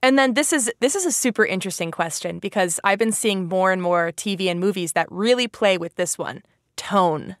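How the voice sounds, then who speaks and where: A woman's voice: mid-range voice, a little fast, dry recording. Chatty woman hosting, close dry sound. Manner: chatty, informal, curious. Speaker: podcast host interviewing a guest. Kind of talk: casual educational interview.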